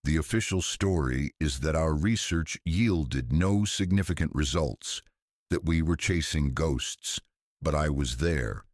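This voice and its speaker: Man voicing a low, earnest older woman researcher. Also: a little slow, no echo, clean studio sound. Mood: urgent, confiding. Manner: quiet, hesitant, serious. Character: older woman neurological researcher, earnest, guarded, mid-fifties.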